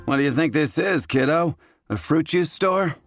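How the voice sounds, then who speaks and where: A man's voice: a little high, animated, moderate pace, close to an everyday voice. An actor playing an adult male tavern bartender in a game, teasing and blunt, over game music. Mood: wry. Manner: teasing, wry, matter-of-fact. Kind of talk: game voice acting. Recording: game audio with music behind.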